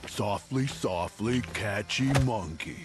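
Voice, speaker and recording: A man's voice: lowish voice, expressive, takes it slowly. An actor playing a brash, dim hulking male mud monster in a video game.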